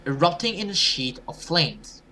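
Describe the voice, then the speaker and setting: Bright-voiced man narrating a horror game. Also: a little high, big pitch swings, moderate pace. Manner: theatrical, with casual swearing asides. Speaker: gamer reading a visual novel aloud. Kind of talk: dramatic let's play narration of a horror story.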